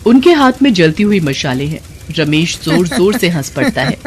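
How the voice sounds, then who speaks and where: A woman's voice: lowish voice, very animated, moderate pace, a little mellow, husky. A woman narrating a scripted Hindi moral story as its storyteller.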